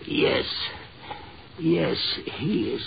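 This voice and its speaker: An actor playing a muddled, embittered, crazed prisoner, halting and repeating himself in 1940s radio horror style. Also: male voice. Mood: embittered, agitated. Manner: halting, dazed, repetitive. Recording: dull, hissy 1940s radio recording.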